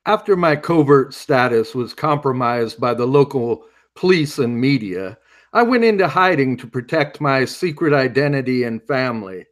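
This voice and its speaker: A man's voice: mid-range voice, lively intonation, moderate pace, natural voice. A man playing a secretive retired male superhero in an audio drama, guarded and dry in his answers.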